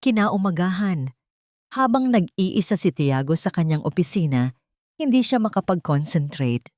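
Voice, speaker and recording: A woman with a Filipino accent narrating a scripted dramatic love story in a solemn, measured storytelling manner. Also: on the low side, very animated, a little mellow, no echo, clean studio sound.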